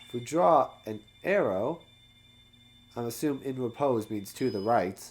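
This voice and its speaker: A man's voice: mid-range voice, lively intonation, unhurried, a little mellow. A man hosting a let's play, giving casual, joking commentary as he plays.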